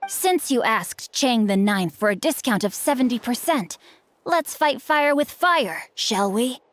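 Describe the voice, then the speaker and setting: A woman's voice: fairly high pitch, animated, measured pace. A woman voicing a studious, polite young nobleman swordsman in a video game, formal, composed and slyly teasing. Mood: coolly intimidating. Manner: formal, archaic, eloquent, composed. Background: background music.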